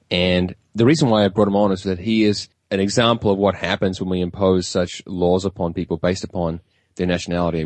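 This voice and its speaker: A man's voice: deep voice, no echo. A man hosting a radio show, interviewing in a conversational style. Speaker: radio host.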